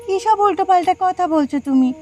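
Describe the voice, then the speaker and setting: A woman's voice: very high-pitched, quick pace, mellow, soft background music. A woman with a West Bengal accent voicing a supportive, firm village wife and mother in a cartoon, earnest and emphatic. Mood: indignant. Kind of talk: cartoon voice acting.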